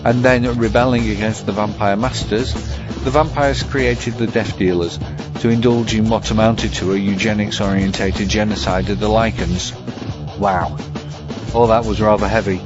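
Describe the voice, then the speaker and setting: Low-pitched man reviewing films over music. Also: fairly even intonation. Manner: measured, wordy, mock-academic. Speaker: segment presenter, vampire film reviewer. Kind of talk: scripted film commentary. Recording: dull audio over music bed.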